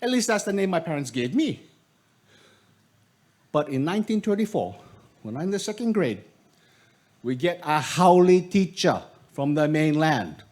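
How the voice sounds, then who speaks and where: A man's voice: a little high, very animated, moderate pace, pauses often, darkish tone. A man voicing a chatty local old-timer with a Hawaiian Pidgin accent, recalling his school days. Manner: chatty, emphatic storyteller.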